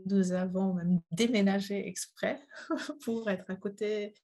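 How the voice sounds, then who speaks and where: Husky-voiced middle-aged woman, hesitant. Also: mid-range voice, fairly even intonation, takes it slowly, mellow. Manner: thoughtful, hesitant, many fillers. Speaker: interviewee, mother. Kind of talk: casual interview.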